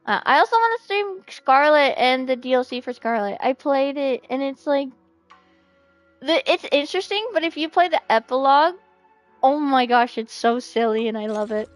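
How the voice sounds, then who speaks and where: A woman chatting casually as she livestreams a game, with a playful, expressive way of talking that readily turns to pleading and exclaiming. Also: high-pitched, normal speed, no room sound. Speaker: game streamer. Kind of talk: casual livestream chat over gameplay. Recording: music behind the voice. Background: music.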